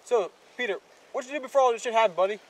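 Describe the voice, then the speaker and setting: Young male with a high voice, casual hesitant line readings, clear outdoor background noise. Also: rapid-fire. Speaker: amateur actor in film outtakes. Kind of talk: rehearsing scripted dialogue, casual. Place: outdoors in woods. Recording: noisy outdoor camera recording.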